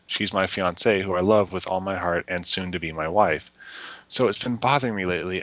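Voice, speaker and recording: Bright, low-voiced man reading aloud. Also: no room sound. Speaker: reader of a letter to friends.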